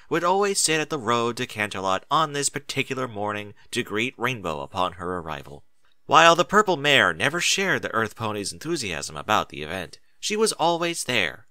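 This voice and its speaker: A man reading a scripted story as a narrator, in an expressive and deliberate dramatic style. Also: medium pitch, no room sound. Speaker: narrator of fan fiction audiobook.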